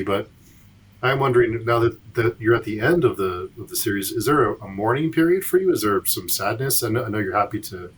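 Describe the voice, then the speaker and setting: A man co-hosting a casual podcast, asking gently probing questions in a halting way. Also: on the low side, husky. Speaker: podcast co-host. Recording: distant from the mic.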